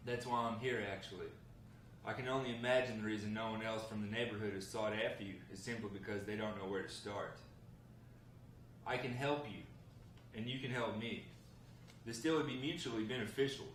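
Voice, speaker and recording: A man reading the part of an earnest, hard-up man bargaining with a gang boss, measured and persuasive, at a script table read. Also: lowish voice, steady tone, lightly acted. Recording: roomy, noisy, distant mic.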